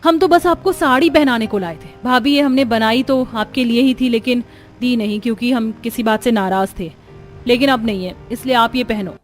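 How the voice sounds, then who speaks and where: A woman voicing characters in a cartoon family story with animated, emphatic delivery. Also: on the high side, normal speed, soft background music. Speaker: voice actor for several cartoon characters. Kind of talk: cartoon dialogue acting.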